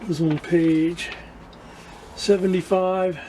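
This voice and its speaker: Mellow-voiced man, halting and casual. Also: sits high, little pitch movement, a little hiss or hum. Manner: halting, searching for words, repeats himself. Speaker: radio restoration hobbyist presenting to viewers. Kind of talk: casual hands-on demonstration.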